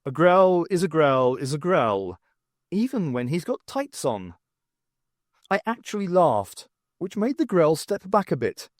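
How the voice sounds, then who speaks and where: A man narrating scripted fiction for an audiobook in an expressive, theatrical storytelling manner. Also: fairly high pitch, measured pace, no echo, studio quality.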